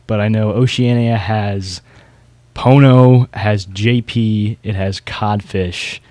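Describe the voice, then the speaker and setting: A man's voice: lowish voice, steady tone, takes it slowly, darkish tone, dry recording. A man hosting a podcast, chatting casually about a competition scene. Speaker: podcast host.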